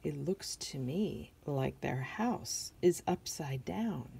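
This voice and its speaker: American woman, middle-aged, children's storytime reader; low pitch with noticeable creak, asks the young listeners questions. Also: expressive, slow, mellow. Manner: simple questions and observations addressed to children. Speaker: picture book reader for children. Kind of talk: read-aloud storytelling with asides to young listeners.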